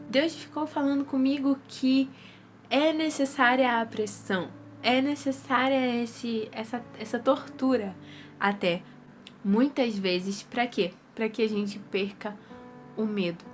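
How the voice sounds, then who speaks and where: A woman's voice: fairly high pitch, slight background noise. A young woman with a Brazilian Portuguese accent gives an earnest, casual devotional talk to her viewers, her nose stuffy from rhinitis. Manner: earnest, repetitive, conversational.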